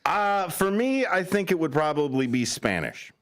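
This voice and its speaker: A man's voice: a little high, normal speed. A man hosting a local radio talk show, joking and playful as he chats and leads into the break. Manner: playful, joking, lively intonation. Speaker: radio host. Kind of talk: casual radio chat. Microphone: studio microphone.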